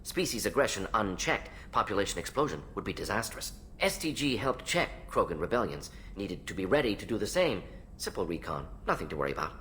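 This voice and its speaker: An actor playing an eccentric alien scientist in a video game, analytical, speaking in clipped rapid-fire fragments. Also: male voice, on the low side, very animated, pushed and bright, a little hiss or hum. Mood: clinical, brisk. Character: fast-talking alien salarian scientist, brisk, analytical, eccentric. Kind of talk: video game character acting.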